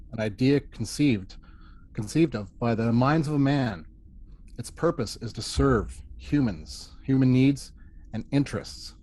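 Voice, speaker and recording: Man playing a stern starship officer prosecuting, mid-pitched. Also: mellow, natural voice. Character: male first officer, stern reluctant prosecutor. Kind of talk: amateur table read of a sci-fi courtroom script.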